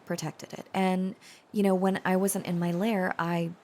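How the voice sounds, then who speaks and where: A woman playing an earnest, devoted dragon-blooded girlfriend in an intimate romantic audio roleplay, confiding with hesitant pauses. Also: on the low side, level tone, close to an everyday voice. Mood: nervous, confessing. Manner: hesitant, confiding, soft-spoken pauses and stammers.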